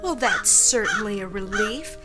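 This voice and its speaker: An actress playing a soothing, proper neighbor woman offering measured sympathy in an audio drama. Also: mid-range voice, animated, over loud music, natural voice. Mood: consoling. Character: consoling, proper female neighbor at a funeral.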